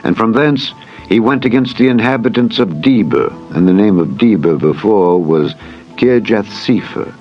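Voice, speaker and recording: Man, scripture reading, solemn, formal delivery, somewhat low voice, dull lo-fi sound, faint music behind. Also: expressive, normal speed. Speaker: Bible narrator.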